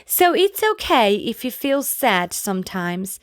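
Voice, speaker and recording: A woman reading a children's picture book aloud as a storyteller, in a gentle, deliberate manner addressed to young listeners. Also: a little high, very animated, no echo, clean studio sound. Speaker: children's storybook reader, channel host. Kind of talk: read-aloud bedtime story narration for children.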